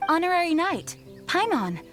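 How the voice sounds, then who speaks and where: A woman's voice: extremely high pitch, big pitch swings, moderate pace, fairly bright tone, heavily acted. An actress playing a dutiful female knight commander in a game, formal and composed. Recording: game audio with music.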